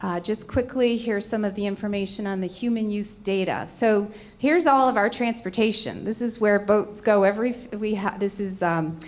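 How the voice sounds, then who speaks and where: A woman's voice: medium pitch, normal speed, few pauses. A middle-aged woman from Rhode Island, speaking with a Rhode Island accent, gives a conference presentation and explains her slides one by one. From Rhode Island, USA. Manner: explanatory, walking through slides. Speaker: conference panelist.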